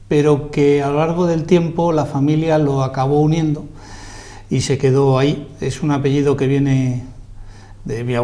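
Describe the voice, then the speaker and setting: A man with a Madrid accent, a guest telling stories about his life in a relaxed, modest way in a TV studio interview. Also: fairly high pitch. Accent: Madrid Spanish. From Spain, Madrid. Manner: self-deprecating storyteller, modest. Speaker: guest, lawyer and journalist.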